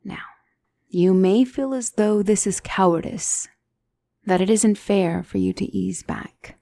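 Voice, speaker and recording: A woman playing a gentle demonic tutor in a scripted ASMR roleplay, speaking softly and deliberately as a calm battle mentor. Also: medium pitch, creaky, no room sound. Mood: calm, instructive. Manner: measured, deliberate, soft-spoken, instructive.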